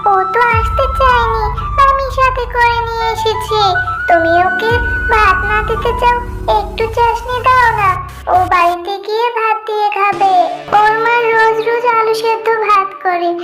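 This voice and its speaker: A woman's voice: extremely high pitch, a little slow, over music. A woman voicing a kind young animal girl in a children's cartoon, with a childlike, coaxing way of talking. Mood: pleading. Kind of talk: cartoon voice acting.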